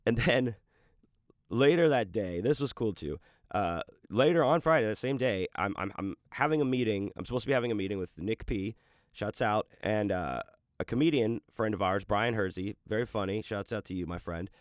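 A man's voice: lowish voice, moderate pace, no room sound, studio quality. A man hosting a podcast in loose, rambling casual chat, profane and full of restarts, talking about his audiobook narration work.

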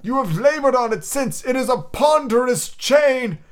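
A man voicing a tormented old male ghost in chains, speaking in a mournful, drawn-out lament. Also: very high voice, expressive, voice pushed up. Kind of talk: audiobook character reading.

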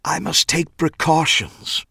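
A high, drawling foppish dandy. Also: male voice, gravelly, bright, forceful tone, breathy edge, dry recording, studio quality, exaggerated voice. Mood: theatrical. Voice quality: flamboyant, drawn-out, dramatic delivery. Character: foppish theatrical lanky gossip columnist man.

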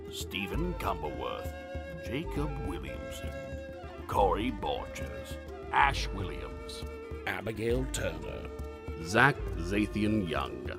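A man plays a grandiose theatrical herald with an extremely animated, swooping delivery. He declaims scripted announcements in an old-fashioned manner, with music clearly playing behind him. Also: on the low side, exaggerated voice. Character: theatrical male herald announcing a play in archaic flourishes.

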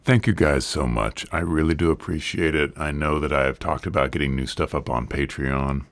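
A man with an extremely deep, gravelly voice, still hoarse and struggling to enunciate after being sick. He talks in an earnest, rambling, conversational way as the host of a solo podcast, recorded dry and close with no room sound. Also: steady tone, normal speed, no echo. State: hoarse, recovering from illness.

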